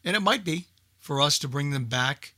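A man hosting a casual, unscripted radio talk show, speaking in a halting way and repeating words as he thinks. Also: fairly high pitch, lively intonation, pushed and bright, no room sound, clean studio sound.

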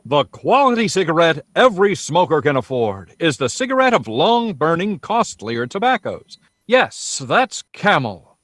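An actor playing a persuasive 1930s radio announcer, delivering scripted sales copy and scene-setting narration. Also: male voice, on the high side, sing-song, measured pace, fairly bright tone, soft background music. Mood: salesmanlike.

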